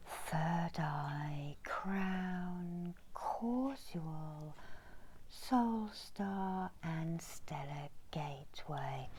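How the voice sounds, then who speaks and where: Middle-aged female meditation guide, breathy whisper, lowish pitch, extremely unhurried delivery in short phrases. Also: slight background noise, rough recording. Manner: slow, deliberate, pausing between short phrases. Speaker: reiki healer guiding a meditation. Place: small indoor room.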